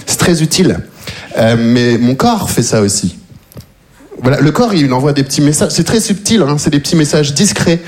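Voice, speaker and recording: A man's voice: a little high, expressive, moderate pace, darkish tone. A man from Belgium, performing a stand-up routine and teasing the audience in a conversational way. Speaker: stand-up comedian. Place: comedy stage before a live audience.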